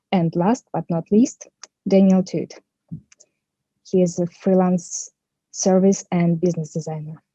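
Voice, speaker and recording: A woman's voice: lowish voice, warm tone, no room sound, muffled recording. A woman moderating a panel on a video call, carefully and formally reading out the speakers' introductions.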